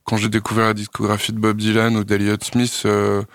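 Young french guy, low flat voice, hesitant, lots of euhs, dry studio. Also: brisk, no echo. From France. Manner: hesitant, thoughtful, many fillers and restarts. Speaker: singer-songwriter guest. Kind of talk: radio interview.

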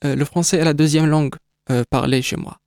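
A man's voice: a little high, fairly even intonation, a little fast, vocal fry, darkish tone, clean tone, no echo, studio quality. Hesitant man, a Kabyle speaker. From North Africa (Kabyle). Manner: hesitant, many fillers and restarts. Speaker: exhibition creator, guest. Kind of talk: radio interview.

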